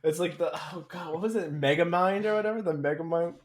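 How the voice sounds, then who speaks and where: A young man co-hosting a podcast, talking casually and a little haltingly, with a teasing, chuckly manner. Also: fairly high pitch. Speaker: podcast co-host. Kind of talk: casual gamer chat.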